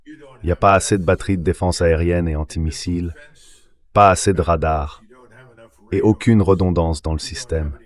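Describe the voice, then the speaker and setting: A man's voice: sits low, fairly even intonation, normal speed, close to an everyday voice. A man's synthetic dubbing voice, speaking as a guest giving translated interview commentary.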